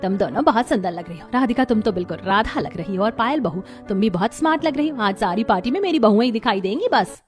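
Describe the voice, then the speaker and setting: High, quick cartoon young woman, acted out. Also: animated, faint music behind, lightly acted. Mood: admiring. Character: young Indian family women chatting, polite and admiring. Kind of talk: scripted cartoon story dialogue.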